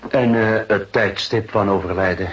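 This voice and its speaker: A man playing a seasoned, thoughtful police detective in a Dutch radio drama, questioning deliberately with hesitant repetitions. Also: fairly low pitch, fairly even intonation, dull audio, slight background noise, close to an everyday voice. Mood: grave, probing.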